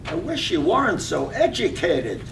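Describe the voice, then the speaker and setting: A man's voice: huge pitch swings, moderate pace, creaky, exaggerated voice. A man acting a wistful, lovesick admirer in a reading of a poetic radio drama. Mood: yearning. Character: lovesick male admirer, wistful, yearning for a woman. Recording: roomy, distant microphone, faint rumbly noise.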